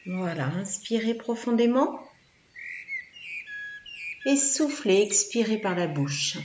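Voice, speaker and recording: A woman's voice: mid-range voice, lively intonation, a little mellow. Woman guiding relaxation in an echoey room. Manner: soothing, measured instructions with pauses. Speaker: yoga nidra instructor. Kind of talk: guided relaxation meditation. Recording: echoey room, background noise, birdsong.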